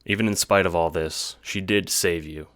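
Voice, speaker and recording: A man's voice: sits low, fairly even intonation, moderate pace, fairly bright tone, dry recording, studio quality. A man reading scripted second-person narration for an audio drama.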